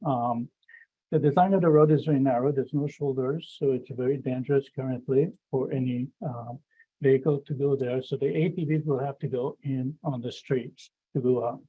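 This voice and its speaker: A man with a low voice speaking hesitantly as a resident at a town meeting, with many pauses and restarts. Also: steady tone, phone-quality sound. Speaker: resident speaking at public comment. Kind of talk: public comment at a town meeting.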